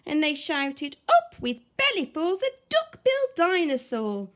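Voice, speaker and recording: A woman voicing rowdy, bloodthirsty young tyrannosaurus sisters who shout and jeer. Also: high voice, huge pitch swings, measured pace, pitched above the performer's own voice, exaggerated voice. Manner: shouting and jeering.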